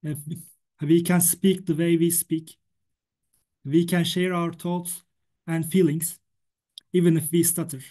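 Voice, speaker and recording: A man's voice: on the high side, flat delivery, slow pace, long pauses, dark tone. Man with a Turkish accent who stutters. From Turkey. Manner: stutters, repeating words and sounds. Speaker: stuttering association representative. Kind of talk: prepared presentation.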